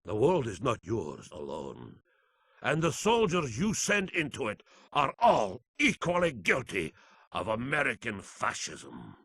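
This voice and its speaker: An actor playing a defiant fugitive leader delivering a grandiose, threatening political speech in a game. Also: male voice, mid-range voice, wildly swooping pitch, measured pace, gravelly, a bit bright, breathy edge, no room sound, heavily acted. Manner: grandiose, declamatory.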